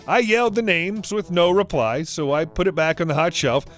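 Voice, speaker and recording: A man giving a scripted narration of online stories as the channel's narrator, reading in an even, steady way. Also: high-pitched, normal speed, soft background music. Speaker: story narrator.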